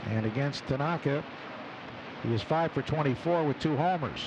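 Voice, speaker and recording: Male radio baseball announcer, raised pitch, clipped play-by-play phrasing, clearly noisy open-air stadium background. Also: moderate pace. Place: open broadcast booth at outdoor ballpark. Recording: noisy outdoor ballpark broadcast. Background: loud stadium ambience.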